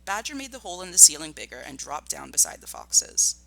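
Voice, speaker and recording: School teacher doing a read aloud lesson, super bright voice, expressive and up and down. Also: mid-range voice, brisk, creaky, rough recording.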